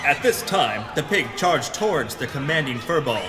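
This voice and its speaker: A man's voice: a little high, big pitch swings, normal speed, a crowd in the background. Guy doing hardboiled noir detective narrator, melodramatic, big reverb, music underneath. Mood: dramatic. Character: hardboiled, melodramatic pulp detective hero, narrating his adventure. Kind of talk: noir parody audio drama narration.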